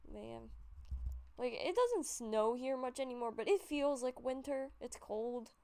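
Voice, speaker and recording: A woman's voice: a little high, lively intonation, unhurried, gravelly, darkish tone. A woman with a stuffy nose giving rambling, casual commentary over gameplay, with frequent fillers and self-corrections. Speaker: YouTuber showing a game collection.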